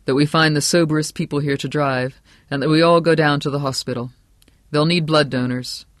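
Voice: mid-range voice, fairly even intonation, fairly quick, no echo, studio quality, lightly acted. A narrator voicing a blunt, commanding father in an audiobook, speaking firmly. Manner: blunt, firm. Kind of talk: audiobook character acting.

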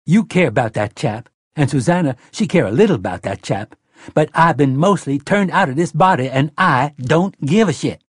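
Harsh crude tough woman voiced by a man, rough dialect, loud, pitched high above his own voice, slightly husky. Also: lively intonation, moderate pace, no room sound, clean studio sound. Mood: menacing. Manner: harsh and crude, brooks no argument.

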